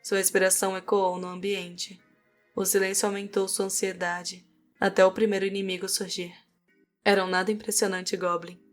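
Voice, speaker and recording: A woman's voice: mid-range voice, flat delivery, moderate pace. A Brazilian woman reading scripted narration for a fantasy audiobook. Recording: music behind the voice. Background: music.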